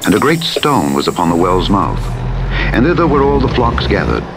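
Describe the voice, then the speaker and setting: A man narrating the Bible in a solemn, measured and formal declamatory manner. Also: sing-song, gravelly. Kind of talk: solemn scripture reading. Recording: played in a game over rumbling truck engine noise. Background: vehicle rumble from a truck simulator game.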